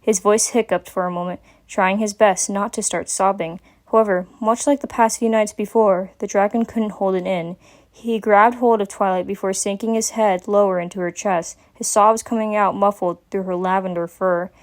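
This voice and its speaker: Female story narrator, mid-range pitch, scripted dramatic reading of fiction. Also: steady tone, moderate pace.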